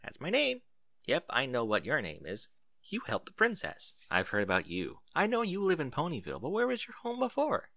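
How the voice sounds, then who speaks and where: A man's voice: on the high side, wildly swooping pitch, brisk, vocal fry, pushed and bright, exaggerated voice. A man voicing a polite, friendly female athlete pony in a story reading, talking plainly. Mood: friendly, curious.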